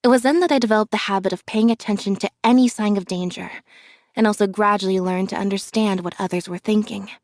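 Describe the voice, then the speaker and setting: A woman voicing a reserved, observant young magician's assistant in a video game, speaking in a calm, measured deadpan. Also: medium pitch, no echo, clean studio sound, natural voice. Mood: calm, detached. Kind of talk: scripted character voice lines.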